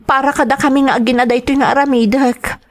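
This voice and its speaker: A woman's voice: high voice, fairly even intonation, quick pace, natural voice. A woman playing a wronged, determined grown daughter in a radio drama, speaking in an earnest, emphatic way. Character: wronged, determined adult daughter and young mother.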